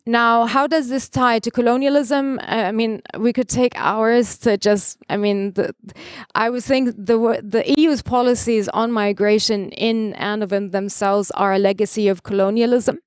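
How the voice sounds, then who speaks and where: Woman on a conference panel, kinda high smooth voice, fired up, dry sound. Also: steady tone, moderate pace, no echo. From Palestine. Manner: impassioned, argumentative, with frequent restarts. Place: conference stage.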